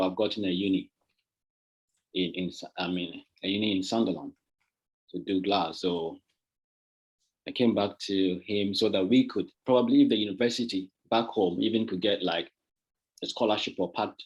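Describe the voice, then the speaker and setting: A man from Ghana speaks in a fairly low voice, talking through his story in an easy, conversational way with plenty of fillers. His voice comes over a video call with dull, lo-fi sound. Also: brisk, slightly rough. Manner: relaxed, conversational, many fillers. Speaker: guest artist presenter. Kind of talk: casual presentation on a video call.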